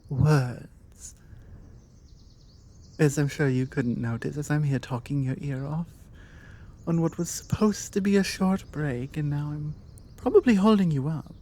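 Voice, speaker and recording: Mellow British vampire woman, playfully forward voiced by an actress. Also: low-pitched. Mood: warm, flirtatious. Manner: musing, wordy, hesitant pauses, playful. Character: forward, chatty female vampire, bookish and flirtatious. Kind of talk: ASMR audio roleplay, one-sided intimate conversation.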